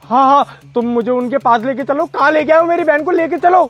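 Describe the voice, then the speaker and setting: A man's voice: very high-pitched, fairly quick, muffled recording. A man acting a stern, suspicious older brother who is a policeman, in an amateur melodramatic skit. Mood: alarmed, urgent. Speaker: actor in a moral-story video.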